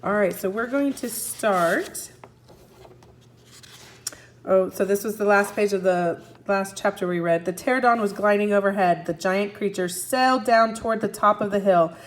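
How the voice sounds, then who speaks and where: A woman teacher reading a story aloud to young children, expressively, pausing to recap and ask the listeners questions. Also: medium pitch. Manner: expressive, asks listeners questions and answers for them. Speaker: first grade teacher.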